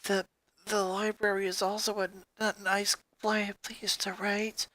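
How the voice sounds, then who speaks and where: A man voicing a timid, guilt-ridden eight-year-old emo girl from a story, in a subdued, halting, apologetic way. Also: high voice, level tone, sharp tone. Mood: nervous, stammering.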